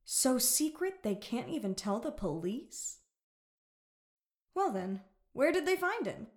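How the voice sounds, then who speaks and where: Woman acting a high-voiced, overprotective mom. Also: sing-song, slow pace, heavily acted. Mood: brisk, businesslike. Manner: sweet and fussing with children. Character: caring, overprotective mother working for police. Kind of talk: scripted family audio drama.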